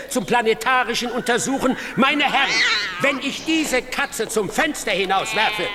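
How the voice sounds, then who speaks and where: An actor playing a pompous, cruel doctor in a radio drama, lecturing students in a declamatory, mocking manner. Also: male voice, high-pitched, pushed and bright, a little hiss or hum, exaggerated voice. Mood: pompous, oratorical.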